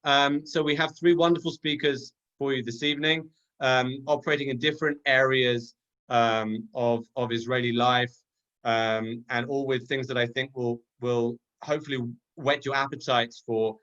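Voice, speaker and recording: A man's voice: mid-range voice, a bit bright. A British man from the UK hosting an online event and introducing a speaker, with many ums and restarts. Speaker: webinar host. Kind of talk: introducing speakers on a video call. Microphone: video call. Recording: dull lo-fi video call audio.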